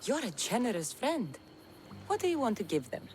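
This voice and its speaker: A woman's voice: a little high, big pitch swings, normal speed, darkish tone, slight background noise. An actress playing a tough, wry female mercenary in a video game, blunt and dry in manner. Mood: amused, kind. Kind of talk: video game cutscene acting. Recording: music behind the voice.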